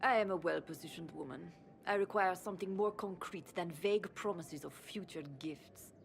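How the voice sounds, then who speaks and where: A woman's voice: fairly low pitch, moderate pace, gravelly, a little hiss or hum. An actress playing a haughty, aging female noble minister in a video game, speaking imperiously and with disdain. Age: older.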